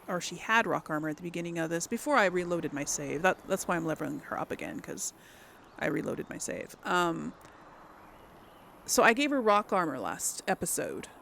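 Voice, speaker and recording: A woman's voice: on the low side, big pitch swings, moderate pace, vocal fry, fairly bright tone, a little hiss or hum. A woman giving casual gaming commentary, thinking aloud in a hesitant, musing way and often trailing off. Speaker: let's player hosting a role-play playthrough.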